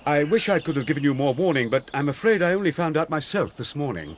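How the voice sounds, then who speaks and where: A courteous, gentle older English gentleman, speaking with polite earnestness. His voice rises and falls a lot, with a faint hiss behind it. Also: on the high side, very animated, fast talker. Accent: British. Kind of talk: radio drama acting.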